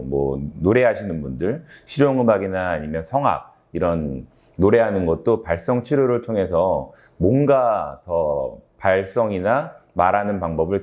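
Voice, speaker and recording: A man's voice: deep voice, darkish tone. A man speaking as a doctor hosting an explanatory health talk, conversational, often posing questions and answering them himself. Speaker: ENT doctor and sleep-health show host.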